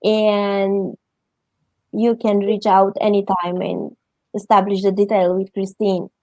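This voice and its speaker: A middle-aged woman from Romania with a Romanian accent, answering interview questions haltingly as she searches for words in English. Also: medium pitch, flat delivery, a little mellow, no room sound. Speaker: interview guest.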